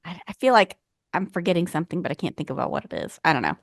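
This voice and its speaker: A woman podcast co-host from New York, chatty and animated, who jokes about herself. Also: on the low side, very fast, gravelly, no room sound, studio quality. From New York State. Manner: chatty, animated, self-deprecating. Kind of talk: casual podcast chat.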